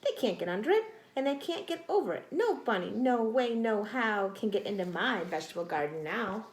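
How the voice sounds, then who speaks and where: A woman's voice: on the high side, sing-song, a little fast. Woman reading as a huffy, boastful male gardener, roomy sound. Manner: huffy, emphatic, triumphant declarations. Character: grumpy, boastful man gardener outraged at rabbits.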